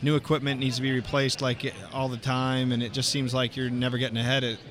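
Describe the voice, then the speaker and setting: A man's voice: medium pitch, level tone, quick pace, a bit bright. Upbeat male podcast host. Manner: friendly, loose, many restarts. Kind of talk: casual interview chat.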